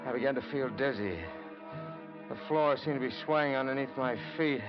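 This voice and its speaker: A grim, clipped murderer tells his story in the first person, as a man acts him out in a 1940s radio play. The voice sits at a middling pitch. The old recording is muffled, with loud music underneath. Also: normal speed, close to an everyday voice. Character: desperate male murderer narrating his crime. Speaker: lead actor and narrator. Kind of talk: 1940s radio drama acting.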